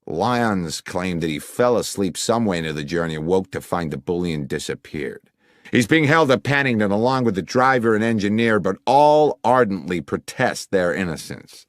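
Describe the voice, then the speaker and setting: A man's voice: low-pitched, lively intonation, normal speed, no room sound, clean studio sound. A man voicing a formal, wordy middle-aged businessman client who is fond of puns, in an audiobook. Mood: incredulous. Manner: formal, long-winded, jovially self-amused. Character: anxious middle-aged businessman client, verbose, pun-loving. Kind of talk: audiobook character dialogue.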